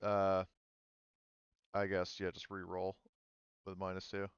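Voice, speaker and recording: Low, smooth-voiced male game master, dull audio. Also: completely flat delivery, takes it slowly, dry recording. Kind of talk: running an online tabletop role-playing game, casual. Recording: dull, slightly muffled audio.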